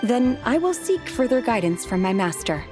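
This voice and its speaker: A woman's voice: fairly high pitch, sing-song, normal speed, music in the background. A woman playing a composed, dutiful young disciple in a video game, speaking formally and with some reserve. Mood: calm, reserved. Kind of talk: video game character acting.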